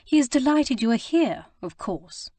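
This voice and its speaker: A woman voicing a flustered, earnest young gentlewoman who stammers and rushes to explain herself. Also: high voice, animated, dry recording, pitched above the performer's own voice. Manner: breathless, stammering, eager to explain. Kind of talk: audiobook character reading.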